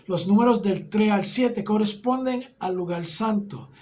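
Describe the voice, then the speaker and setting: Un hombre con acento puertorriqueño que enseña una clase bíblica, explicando paso a paso y preguntando "¿ok?" a menudo. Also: male voice, high-pitched, a little slow, a little mellow. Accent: Puerto Rican Spanish. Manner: explanatory, often checks in with "¿ok?". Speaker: Bible teacher. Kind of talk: teaching a Bible class.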